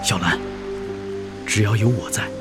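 An actor playing a lonely, homesick married man in exile in a radio drama, gentle and conflicted, with a hesitant manner. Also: lowish voice, unhurried, lightly acted. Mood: melancholy, gentle. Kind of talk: emotional radio drama acting. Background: music.

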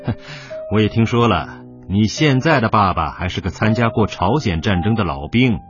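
An actor playing an emotional middle-aged son and former soldier in a radio drama, with sighs and exclamations. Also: male voice, on the low side, animated, normal speed, lightly acted. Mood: cold, sarcastic. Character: devoted, emotional Chinese son, ex-soldier and single father. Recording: dull lo-fi, music underneath.

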